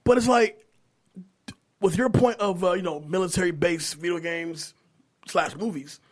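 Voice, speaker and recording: A man with a distinctly high-pitched voice talks casually among friends on a podcast. He is opinionated and swears freely, speaking as a co-host. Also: moderate pace. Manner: opinionated, profane. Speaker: podcast co-host discussing movies.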